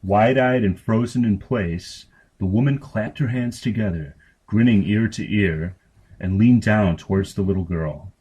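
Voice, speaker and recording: Man with a low voice to narrate darkly comic short fiction from a script. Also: measured pace, mellow, breathy edge, low-quality recording. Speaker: story reader.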